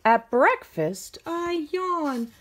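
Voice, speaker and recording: A woman's voice: high voice, big pitch swings, drawn-out words, fairly bright tone, a little hiss or hum. A middle-aged woman reading a children's picture book aloud expressively, with simple phrasing for young listeners.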